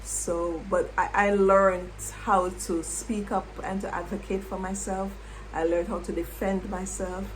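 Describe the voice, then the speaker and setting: A middle-aged woman, a former English teacher, reflecting on her past in an unhurried way and repeating words as she thinks. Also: mid-range voice, little pitch movement, slight background noise, poor audio. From Jamaica. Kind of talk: reflective personal storytelling.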